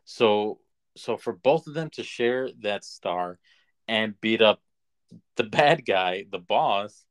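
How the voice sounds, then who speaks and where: A man's voice: fairly low pitch, expressive, slow pace, clean tone, no room sound. Man chatting casually, dry close sound. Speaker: podcast co-host. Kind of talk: casual movie review chat.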